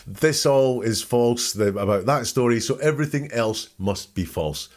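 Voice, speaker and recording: A man's voice: medium pitch, animated, normal speed. A man hosting a podcast, giving informal, wry analytical commentary and reading out quotes to pick them apart. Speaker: podcast host.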